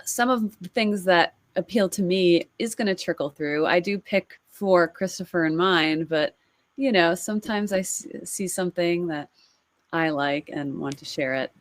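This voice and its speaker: Friendly woman cohost, lowish voice, chatty, dry close mic. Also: normal speed, no room sound. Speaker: podcast co-host. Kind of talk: casual chat reacting to videos.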